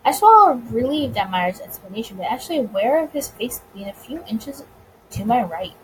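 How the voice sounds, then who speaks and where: A woman's voice: on the high side, very animated, normal speed, slight background noise, poor audio. A woman reading a visual novel aloud with casual commentary, stumbling over words now and then. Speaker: let's play host.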